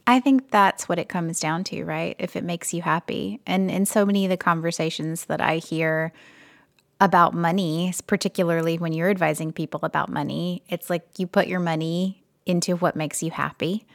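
A woman co-hosting a personal finance podcast, reading a listener's letter aloud in a steady, measured way. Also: fairly low pitch, gravelly, no echo, clean studio sound. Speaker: podcast co-host.

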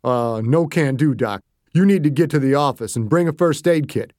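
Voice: medium pitch, lively intonation, normal speed, darkish tone, no echo, studio quality, close to an everyday voice. A narrator voicing a protective, stubborn man who talks bluntly and steadily. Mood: wary.

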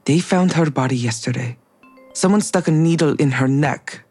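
A woman playing a distrustful colleague in an audio drama, blunt and accusatory, with a husky voice. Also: low-pitched, normal speed, a little mellow, soft background music. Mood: accusing, bitter.

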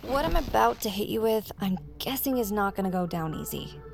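Frank, gravelly-edged young woman. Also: medium pitch, animated, fairly quick, music in the background. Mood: earnest, reassuring. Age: 20s. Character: frank, quirky twenty-eight-year-old woman, a drummer. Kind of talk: naturalistic audio drama dialogue.

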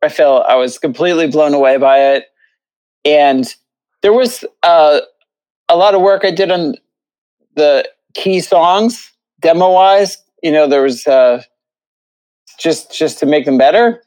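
A man's voice: on the high side, unhurried, long pauses, a little mellow, low-quality recording. A man giving casual interview answers as a theatre composer, in a hesitant, loosely phrased way.

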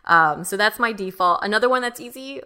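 A woman co-hosting a podcast, chatting casually and relaxed, then wrapping up the episode. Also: medium pitch, brisk. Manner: relaxed, self-deprecating, wraps up the show. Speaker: podcast co-host. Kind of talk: casual chat.

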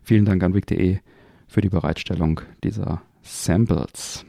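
A man's voice: low voice, steady tone, normal speed, creaky, dark tone, a little hiss or hum. A man hosting a podcast in a casual, chatty style. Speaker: podcast host. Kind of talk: casual chat.

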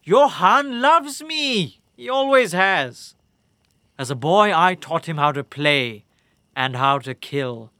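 A man's voice: fairly high pitch, animated, takes it slowly, bright, forceful tone, dry recording, studio quality, heavily acted. A man playing a captured enemy warlord in an audio drama, flippant and cocky, with a theatrical, taunting, wry delivery. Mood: grandiose, self-assured.

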